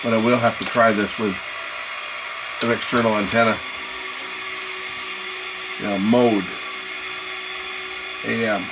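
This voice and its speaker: A man's voice: fairly low pitch, steady tone, slow pace, pauses often, over music. A man giving a casual hands-on gadget demonstration, musing aloud as he tries things out. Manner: musing aloud while trying controls. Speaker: product reviewer. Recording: noisy, radio static and ambience. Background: radio noise.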